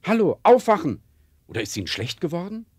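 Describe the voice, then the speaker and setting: Radio-play editor, middle-aged, theatrical and teasing, very animated, dry close sound. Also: male voice, a little high, fairly quick, slightly rough, no echo, exaggerated voice. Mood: breezy, jovial. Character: jovial, bossy male magazine editor, middle-aged. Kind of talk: German radio play acting.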